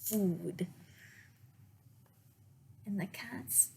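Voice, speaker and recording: Lady doing library storytime, reads really slow with pauses, bit of background noise. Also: mid-range voice, creaky, mellow. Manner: slow, deliberate phrasing with pauses. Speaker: children's librarian reading storybooks aloud. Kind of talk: read-aloud storytime with asides to young listeners.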